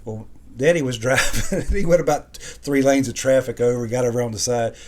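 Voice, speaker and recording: A man's voice: mid-range voice, fairly quick, darkish tone. Folksy Southern man reminiscing. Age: middle-aged. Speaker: co-host sharing memories. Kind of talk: casual reminiscing chat.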